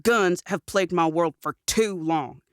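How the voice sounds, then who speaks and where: A woman playing a feisty, defiant young outlaw in a western audio drama, with a sharp way of talking. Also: lowish voice, measured pace, clean tone, no echo, studio quality, lightly acted.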